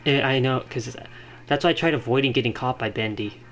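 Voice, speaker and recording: A male game streamer talks casually through his play. His voice has an average pitch, and he rambles as he thinks out loud. Game music plays clearly behind him. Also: fairly quick. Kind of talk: casual live gameplay commentary. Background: video game music.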